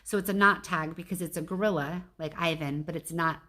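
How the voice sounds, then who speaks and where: A woman with a slightly low voice narrates a children's storybook as a teacher would. She shifts between measured reading and casual explanatory asides to her listeners. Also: steady tone, bright, forceful tone.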